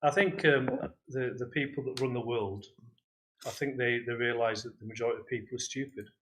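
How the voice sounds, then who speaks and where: A man with a British accent calling into a talk show, relaxed and dry in his chat. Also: mid-range voice, a little fast. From United Kingdom. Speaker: caller. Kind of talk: casual phone-in chat.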